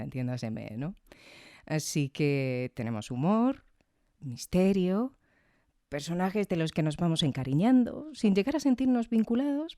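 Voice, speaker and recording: A woman's voice: low-pitched, warm tone, no room sound, studio quality. A woman hosting a podcast, reviewing in a conversational, reflective way and often checking in with tag questions. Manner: reflective, frequently seeking agreement with tag questions. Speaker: podcast host.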